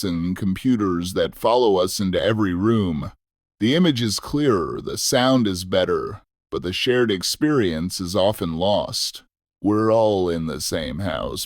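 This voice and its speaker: Low-pitched man narrating a nostalgic story. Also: no room sound, studio quality. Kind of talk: scripted first-person nostalgic storytelling narration.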